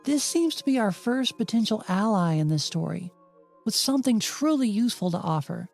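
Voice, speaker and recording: A woman's voice: medium pitch, vocal fry, mellow. A woman reading scripted narration in an audiobook style, with wry, dryly sarcastic asides. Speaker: host and narrator of a video game retrospective. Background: music under the voice.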